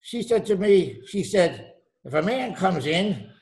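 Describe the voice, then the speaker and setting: A cagey waterfront tattooist with a salty seaman's way of talking, played by a man. His voice sits high for a man, and he is evasive, in old-time radio style over a home video call. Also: big pitch swings, a little slow, darkish tone, full character voice. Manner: salty, evasive, calls people mate. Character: cagey old-salt male tattooist, nautical slang.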